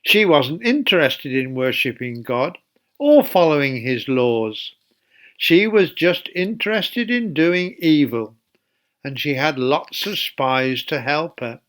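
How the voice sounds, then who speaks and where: A man reading a Bible story aloud to children as a church storyteller, speaking deliberately and putting questions to his young listeners. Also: on the high side, big pitch swings, a bit bright.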